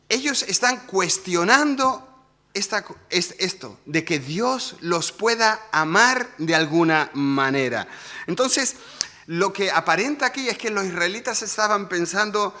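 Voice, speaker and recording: A man's voice: sits high, animated, slow, bright, forceful tone. A man preaching a sermon in a conversational way, often asking the congregation questions. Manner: conversational, asks the audience rhetorical questions.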